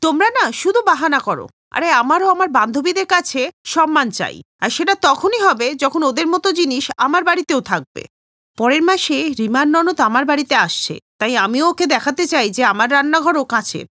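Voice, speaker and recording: A stubborn young housewife from a cartoon story, acted by a woman. She insists and complains in a high, swooping voice, recorded dry. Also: animated, moderate pace, sharp tone, dry recording. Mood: stubborn, demanding.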